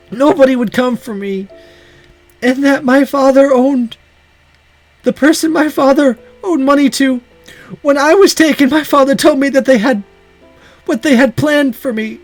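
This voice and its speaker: A man's voice: very high-pitched, no echo, pitched above the performer's own voice, poor audio. A man voicing a tearful young woman from a visual novel, anguished and pleading with her dying lover.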